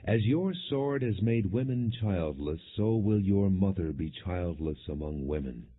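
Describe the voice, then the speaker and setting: Man reading as a stern, very deep-voiced old prophet. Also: lively intonation, unhurried, husky, heavily acted. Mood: grim. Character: stern old male prophet rebuking a king. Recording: muffled, phone-like narrow-band audio.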